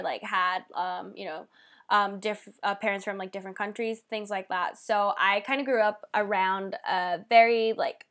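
A woman's voice: medium pitch, a bit bright. A young woman from Japan co-hosting a casual podcast, chatting easily and filling her sentences with "like" and "um". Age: young adult. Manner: chatty, lots of "like" and "um" fillers. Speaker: podcast co-host.

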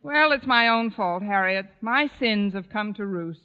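An actress playing a wry, deadpan schoolteacher in a 1940s radio comedy. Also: female voice, medium pitch, unhurried, background noise, natural voice. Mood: rueful, wry. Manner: deadpan, wisecracking. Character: wry, sardonic female high school English teacher, adult. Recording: old radio broadcast, muffled, long reverb.

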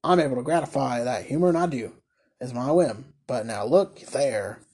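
A man's voice: medium pitch, animated, normal speed, a little mellow, breathy edge. A man voicing a smug, domineering older male merchant in a storybook reading, curt and condescending. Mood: smug, boastful.

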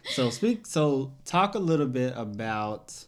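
A man's voice: mid-range voice, sing-song, measured pace. A man from the United States hosting a podcast in a casual, conversational style. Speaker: podcast host.

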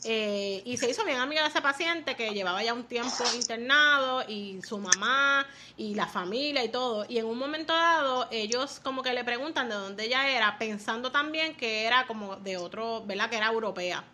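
Bright-voiced woman. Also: on the high side, moderate pace, hardly any pauses, a little hiss or hum. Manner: attentive listener, short agreeing replies. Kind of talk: casual chat.

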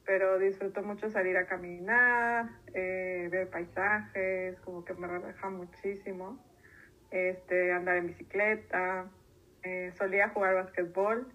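A woman's voice: fairly low pitch, little pitch movement, a little slow, fairly bright tone, dull audio. A woman in her thirties with a northern Mexican accent, speaking casually as an interview guest. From northern Mexico, grew up in Tijuana. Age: 30s.

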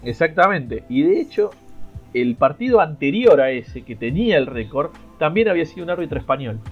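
A man co-hosting a casual podcast chat, joking around and often pausing on filler words. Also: on the high side, very animated, slightly rough, highs cut off, faint music behind. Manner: joking, frequent "este" fillers. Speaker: podcast co-host.

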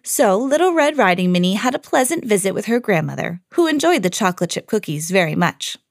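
Mom-type lady reading kids a fairy tale, super animated, dry close recording. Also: mid-range voice, a little fast, no echo. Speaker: podcast host and children's story reader. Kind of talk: animated scripted storytelling for children.

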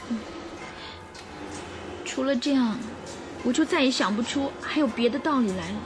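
A woman's voice: a little high, expressive, normal speed, over music, close to an everyday voice. A woman playing an earnest, distressed young governess under suspicion in a radio drama. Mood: earnest denial.

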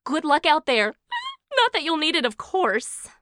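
A woman's voice: sits high, big pitch swings, unhurried, background noise. A woman playing a gushing aunt who hosts a kids' game show in an audio drama. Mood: encouraging.

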